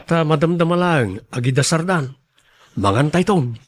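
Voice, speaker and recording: An actor plays a devoted young man in a radio drama, with a fairly high voice. He sounds earnest and respectful, easily embarrassed, pleading gently with his mother. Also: expressive, normal speed. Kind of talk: Ilocano radio drama acting.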